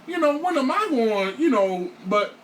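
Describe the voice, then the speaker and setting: A man in his late thirties talking casually on a panel, animated and repeating himself, acting out his own thoughts. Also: very high-pitched, moderate pace, a crowd in the background. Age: 30s. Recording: echoey room, far from mic, faint hiss.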